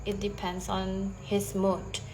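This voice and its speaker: A young woman with an Indonesian accent, a native Bahasa Indonesia speaker, practicing English slowly and hesitantly, with frequent restarts. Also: medium pitch, monotone, low-quality recording. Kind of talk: casual conversation practice.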